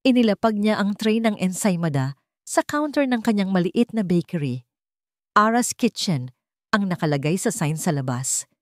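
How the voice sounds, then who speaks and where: A woman's voice: medium pitch, lively intonation, normal speed, no echo, clean studio sound. A woman reading a dramatic love story as an audiobook narrator.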